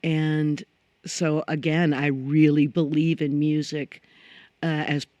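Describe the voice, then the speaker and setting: A middle-aged woman singer with a low voice, chatting as a guest in a studio interview, reflective and full of restarts. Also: little pitch movement, slow, dark tone, dry recording. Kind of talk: casual conversational interview. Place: radio studio.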